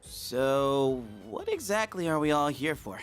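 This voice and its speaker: A man's voice: on the high side, big pitch swings, a little slow, faint music behind. A man voicing a cocky, goofy teenage boy in a game, slangy and brash. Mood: breezy, cocky. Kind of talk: game voice acting.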